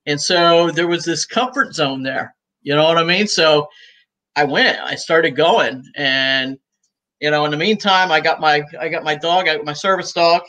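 A woman in her sixties, talking as a guest on a video call from home, chatty and easygoing and quick to laugh. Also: low-pitched, pushed and bright. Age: 60s. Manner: talkative and easygoing, laughs often. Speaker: guest, cigar shop owner. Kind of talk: casual interview chat. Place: room at home.

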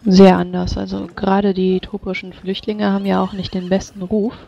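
Woman doing in-character fantasy rpg chat, lots of vocal fry, kinda muffled lo-fi audio. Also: medium pitch, flat delivery, moderate pace, warm tone. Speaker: tabletop roleplay player in character. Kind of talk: improvised in-character fantasy roleplay dialogue. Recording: dull, lo-fi voice chat.

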